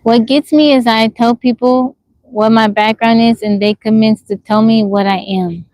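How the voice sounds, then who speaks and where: A woman's voice: fairly high pitch, steady tone, moderate pace, a little mellow, no room sound, low-quality recording. Frank woman in her forties on livestream. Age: 40s. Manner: frank, questioning, repeats herself. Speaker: livestream host. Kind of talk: casual livestream chat reading comments. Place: outdoors in the woods.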